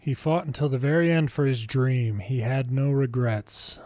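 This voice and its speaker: A man's voice: mid-range voice, moderate pace, darkish tone, no echo. A man narrating a video game story, reading scripted dialogue and text aloud. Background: faint game music.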